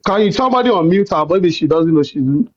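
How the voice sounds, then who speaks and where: A man's voice: high-pitched, animated, a little mellow, lo-fi sound. A man hosts a heated live call-in debate, repeating himself and cutting in with "wait" and "hold on". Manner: repeats words, cuts in with rapid "wait" and "hold on". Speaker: live stream host.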